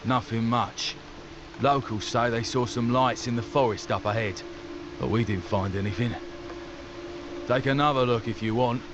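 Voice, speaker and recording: A man's voice: medium pitch, steady tone, normal speed, muffled recording, natural voice. Plain-spoken soldier scout played by a man over noise. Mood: wary. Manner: colloquial, matter-of-fact. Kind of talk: video game voice acting. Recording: noisy outdoor ambience behind.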